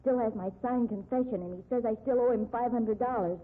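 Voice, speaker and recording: An actress playing an earnest, pleading office bookkeeper being blackmailed in a 1950s radio drama. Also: female voice, a little high, a little fast, lightly acted. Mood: despairing. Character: accused female office bookkeeper, desperate blackmail victim. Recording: tinny band-limited 1950s radio recording.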